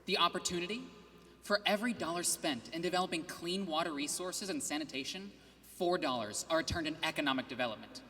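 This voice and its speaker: A man giving a rehearsed student team presentation in a steady, scripted way. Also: a little high, fast, in a large hall.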